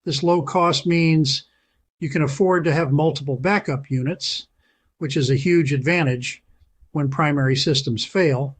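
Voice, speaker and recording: A man reading a scripted voice-over as channel host, persuasive and emphatic, slowing down to stress key phrases. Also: fairly high pitch, breathy edge, no echo.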